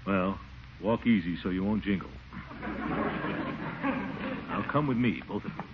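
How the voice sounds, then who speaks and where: An American actor playing a pompous, blustering, easily exasperated middle-aged uncle in a classic radio comedy. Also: male voice, sits low, unhurried. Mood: self-important. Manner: pompous, flustered, chuckling bluster. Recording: muffled, hissy old radio broadcast.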